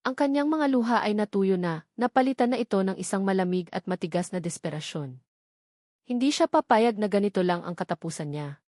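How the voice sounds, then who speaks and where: A woman's voice: medium pitch, animated, fairly quick, darkish tone, no echo, studio quality. A woman with a Filipino accent giving a dramatic scripted narration of a fictional story.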